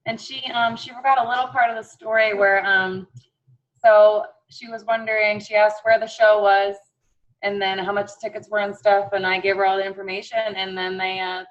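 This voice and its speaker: A woman's voice: medium pitch, level tone, moderate pace, muffled recording. An American woman chatting casually as a podcast co-host. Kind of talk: casual chat.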